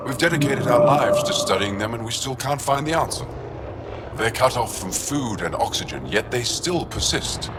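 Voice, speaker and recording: A man's voice: mid-range voice, lively intonation, moderate pace, natural voice. A man playing a devoted alien researcher in a video game, explaining things with earnest, reverent expression. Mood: puzzled. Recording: game ambience with vehicle noise.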